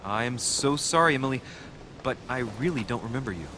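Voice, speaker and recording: A man's voice: lively intonation, a little fast, background noise, natural voice. A man playing a polite, self-assured young French diplomat in a video game, formal and questioning. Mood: apologetic. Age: young adult. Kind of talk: video game cutscene acting. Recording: constant rumbling ambience behind.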